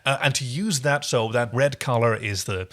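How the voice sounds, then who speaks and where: Guy from europe on a podcast, middle pitched, geeking out casually about tv, goes off on tangents. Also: moderate pace, a bit bright. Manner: enthusiastic, digressive. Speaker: podcast co-host. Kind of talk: casual TV episode recap chat.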